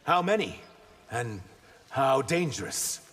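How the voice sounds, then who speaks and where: A man's voice: medium pitch, very animated, takes it slowly. An actor playing an earnest, proud reptilian humanoid man in a video game, formal and sincere in manner. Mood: guarded, formal. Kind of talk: video game character dialogue. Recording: hall reverb with background noise.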